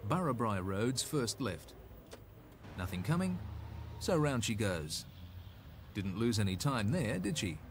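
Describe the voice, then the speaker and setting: A man's voice: lowish voice, sing-song, measured pace, pauses often, a little hiss or hum. A man with an Australian accent narrating a scripted 1980s road safety film in an instructive, slightly folksy way.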